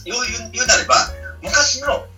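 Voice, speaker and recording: A man's voice: very high-pitched, sing-song, moderate pace, distant mic, over music. A man co-hosting a casual radio talk, speaking politely and chuckling as he explains his ideas. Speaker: radio co-host.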